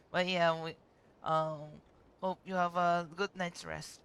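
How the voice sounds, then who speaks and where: A man streaming a video game live, chatty, giggly and excitable. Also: high-pitched, slow pace, gravelly, clean tone. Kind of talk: casual live-stream chat.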